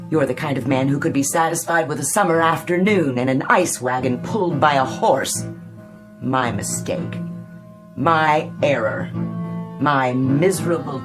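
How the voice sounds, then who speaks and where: A contemptuous American wife with a very low voice for a woman. She is sardonic and cutting, weighing each barb with deliberate emphasis. Music is clearly heard under the radio play. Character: scornful, ambitious, disappointed wife of a dreamer. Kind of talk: audio drama acting.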